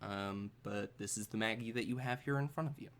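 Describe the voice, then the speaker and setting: A man improvising narration as a tabletop game master, halting and suspenseful, with trailing pauses. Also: fairly low pitch, a bit bright.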